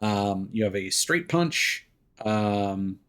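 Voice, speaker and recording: A man giving casual live game commentary, rambling and thinking aloud with hesitant pauses. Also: lowish voice, lively intonation, a bit bright, clean tone. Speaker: game streamer. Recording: game music behind the voice. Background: game music.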